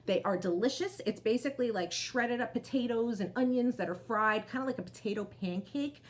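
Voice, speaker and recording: A woman storyteller reading aloud to children, expressive, with chatty asides that speak to them directly. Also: a little high, a little fast.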